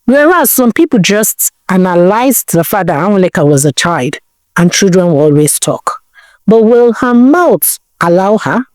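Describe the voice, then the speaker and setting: A woman telling a village folktale in a dramatic, expressive way, now and then asking the listener questions. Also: mid-range voice, no room sound. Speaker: storyteller. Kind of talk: folktale storytelling narration.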